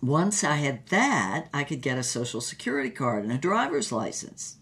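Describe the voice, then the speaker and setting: A woman's voice: very deep voice, sing-song, normal speed, fairly bright tone, heavily acted. An actress playing an older, reflective woman with a wry, emphatic way of telling her mystical life story in a drama. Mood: wry, reminiscing. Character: old woman, reflective mystical storyteller and former fugitive artist. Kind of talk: dramatic first-person storytelling, acted as conversation.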